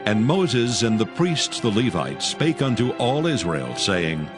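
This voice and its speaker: A man's voice: medium pitch, sing-song, unhurried, music in the background. A man narrating dramatized scripture in a formal, solemn manner. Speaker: Bible narrator.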